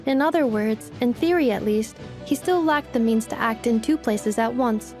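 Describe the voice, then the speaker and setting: Woman voicing a precise, high-voiced robot assistant. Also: normal speed, music in the background, lightly acted. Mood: analytical. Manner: precise, formal. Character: analytical, literal-minded female robot assistant. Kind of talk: video game English dub acting.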